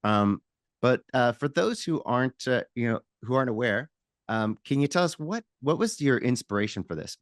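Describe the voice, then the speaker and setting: Dry-sounding hesitant podcast host. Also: male voice, medium pitch, animated, normal speed, no echo. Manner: hesitant, many fillers and restarts when asking questions. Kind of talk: podcast interview and read sponsor ad.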